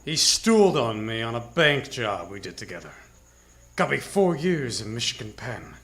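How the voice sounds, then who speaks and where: A man's voice: medium pitch, sing-song, normal speed, fairly bright tone. A man playing a cold, menacing gunman who needles his victim with mocking gangster talk in an old-time radio crime drama. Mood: cold, suspicious. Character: menacing bald male gunman, cold taunting killer. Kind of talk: 1940s radio crime acting. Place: live radio drama on a theatre stage. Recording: live theatre stage, faint music.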